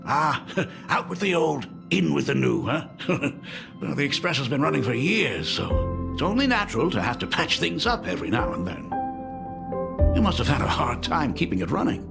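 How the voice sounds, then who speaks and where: An actor voicing a doting, excitable middle-aged artist father in a video game, theatrical and effusive. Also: a little high, moderate pace, vocal fry, over music. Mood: curious, admiring. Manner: theatrical, effusive, chuckles often. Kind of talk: animated video game voice acting.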